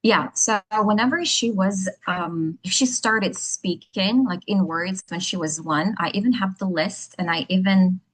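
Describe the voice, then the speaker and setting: A woman with a Ukrainian accent speaks as an interview guest. Her voice sits at a middle pitch. She rambles in a chatty way, with many "like" and "you know" fillers. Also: measured pace, hardly any pauses, rough recording. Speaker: guest, ESL educator and mother. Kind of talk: casual interview talk.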